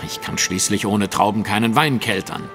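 A man's voice: lowish voice, moderate pace, music in the background, natural voice. A man playing a keen, self-assured detective in an audio drama, speaking with formal precision. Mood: analytical.

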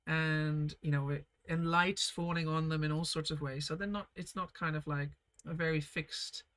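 A woman leading a casual live painting lesson, reading viewer comments and chatting warmly as she paints, often laughing softly and trailing off mid-sentence. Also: sits low. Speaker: art teacher streaming a painting session.

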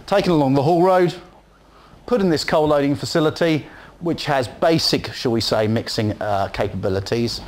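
A man's voice: mid-range voice, moderate pace, a little hiss or hum. A man from England with a West Country accent, giving a rambling, chatty conference presentation in a hall. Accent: West Country English. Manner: chatty and rambling, with frequent ums and asides. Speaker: conference speaker.

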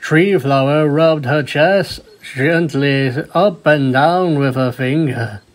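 A man's voice: fairly high pitch, slow pace. A man reading a story aloud as a scripted narration. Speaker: fanfiction reader, narrator.

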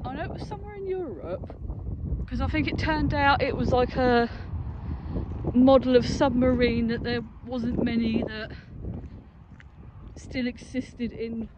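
Clearly noisy, windy phone audio carries a British vlogger's voice from an open outdoor site. The delivery is casual and unscripted, rambling along tentatively like someone thinking aloud on a walk. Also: mid-range voice, measured pace, muffled recording. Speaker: urban exploration vlogger. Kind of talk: casual impromptu walking commentary. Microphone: handheld phone, no headset.

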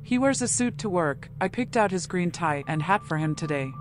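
A woman's voice: lowish voice, expressive, moderate pace, lightly acted. A woman voicing a reserved, anxious female client in a noir detective game, speaking carefully. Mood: guarded. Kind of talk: noir video game voice acting. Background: music.

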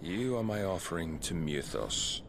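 An actor playing a cold, haughty, menacing villain with controlled delivery in a video game cutscene. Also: male voice, deep voice, expressive, moderate pace, vocal fry, noisy background. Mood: cold menace.

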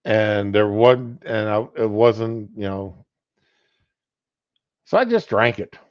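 A man's voice: on the low side, lively intonation, dry recording. A man co-hosting a casual livestream chat over a video call, rambling with lots of fillers. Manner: slow, rambling, many fillers. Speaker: co-host. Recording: dull lo-fi call audio.

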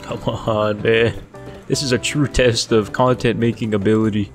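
Deep-voiced young guy streaming a survival game, cursing and joking as he plays, low voice, faint music behind. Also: little pitch movement, moderate pace. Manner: swears often, jokes, thinks aloud. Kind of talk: casual gameplay commentary.

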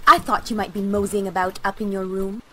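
A woman playing a gossipy, bustling, teasing young relative in a period audio drama, speaking with brisk dramatic emphasis. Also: medium pitch, steady tone, background noise, close to an everyday voice. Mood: teasing, excited.

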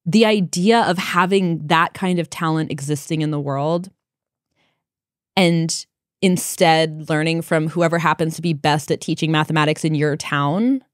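A woman in her thirties hosts an interview, speaking thoughtfully and clearly. Her voice sits a little low. The studio mic sound is dry and close. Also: fairly even intonation, a little slow, no room sound. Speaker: podcast host. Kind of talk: casual interview conversation. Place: dark podcast studio. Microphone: studio mic on boom arm, headphones.

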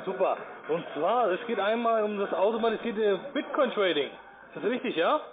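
A man working as a call centre manager, talking in a brisk sales style over the telephone. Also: high-pitched, expressive, noisy background. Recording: phone line, busy background din.

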